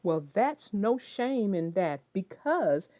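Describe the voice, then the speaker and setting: Female children's narrator and host: expressive, mid-pitched, animated delivery, lo-fi sound. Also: takes it slowly, no room sound. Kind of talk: expressive read-aloud storytelling and friendly discussion. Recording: dull lo-fi sound, soft guitar music.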